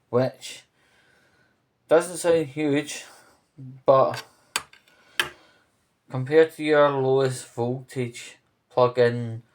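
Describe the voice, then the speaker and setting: A man with a Glasgow-area Scottish accent giving an earnest, hesitant, informal update to camera from his kitchen at home. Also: medium pitch. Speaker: vlogger.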